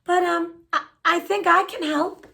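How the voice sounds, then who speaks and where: A woman voicing a kind, plump old woman helper in a storybook, with a bright, gentle, slightly hesitant way of speaking. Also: extremely high pitch, fairly even intonation, a little slow, vocal fry, voice pushed up, full character voice.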